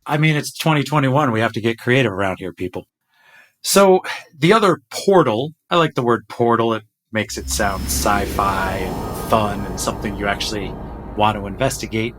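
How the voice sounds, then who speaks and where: A man's voice: fairly low pitch, moderate pace, fairly bright tone. Conversational man hosting a podcast. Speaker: podcast host. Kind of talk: casual podcast discussion.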